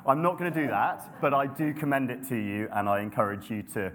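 A man's voice: mid-range voice, fairly even intonation, brisk, fairly bright tone. A young adult man giving a sermon in a conversational, self-deprecating way, with frequent ums and restarts. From grew up in Nepal and the UK. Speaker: preacher.

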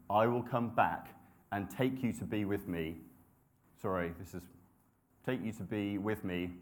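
A young adult man giving a sermon in a conversational, self-deprecating way, with frequent ums and restarts. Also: on the low side. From grew up in Nepal and the UK. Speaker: preacher.

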